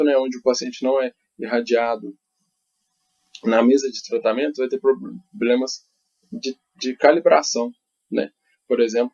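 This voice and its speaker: Gravelly Brazilian man presenting research. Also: mid-range voice, darkish tone. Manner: halting, frequent tag questions. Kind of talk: academic conference presentation. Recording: dull, lo-fi audio.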